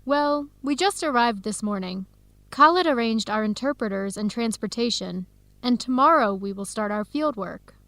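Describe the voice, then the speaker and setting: A woman playing a determined young American woman in an English-learning radio drama, speaking in clear, simple scripted sentences with an American accent. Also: on the high side, expressive, normal speed, a bit bright, no room sound. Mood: resolute. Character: Helen, determined young American woman searching for birth family.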